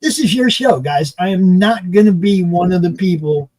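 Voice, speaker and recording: A man's voice: high voice, normal speed, darkish tone, no echo. A man in his early sixties chatting casually as the host of a streaming panel show, often repeating his phrases as he explains things. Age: early 60s.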